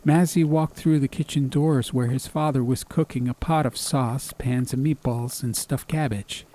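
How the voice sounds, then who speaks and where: A man's voice: medium pitch, fairly even intonation, normal speed, warm tone. A man narrating a scripted story as an audiobook, with ambient noise behind his voice. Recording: background ambience noise under voice.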